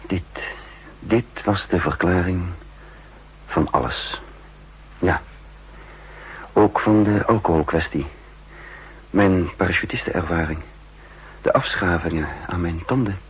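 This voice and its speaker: An actor playing a cool, calculating spy chief who narrates in the first person, in a 1960s radio drama. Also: male voice, sits low, husky, exaggerated voice, poor audio. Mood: tense. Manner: measured, sardonic. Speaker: narrator and lead.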